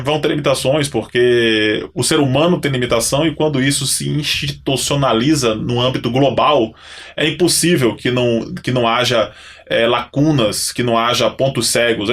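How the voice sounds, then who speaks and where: A man's voice: medium pitch, moderate pace, a bit bright. A man hosting a casual podcast conversation, thinking aloud and restarting his phrases as he sets up questions. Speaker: podcast host.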